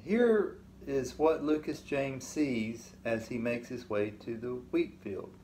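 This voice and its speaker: Slow, roomy-sounding grandfather reading. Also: medium pitch, darkish tone. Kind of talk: reading a picture book to a grandchild. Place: living room couch, indoors. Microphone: phone or webcam in front of the couch. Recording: roomy echo, faint hiss.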